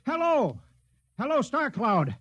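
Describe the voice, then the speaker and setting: An actor playing an eager sergeant at a radio set, excitable and brief, in a 1950s radio drama. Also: male voice, very high voice, slow pace, full character voice. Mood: alarmed. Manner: excitable, short replies. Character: eager, alarmed male sergeant radio operator on Earth. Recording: muffled vintage radio recording, faint noise.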